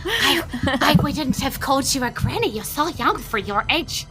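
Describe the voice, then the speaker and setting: A woman voicing a sheepish, flattering male cat-folk pirate with a hesitant, wry manner in a tabletop game. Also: high-pitched, normal speed, over music, voice pushed up.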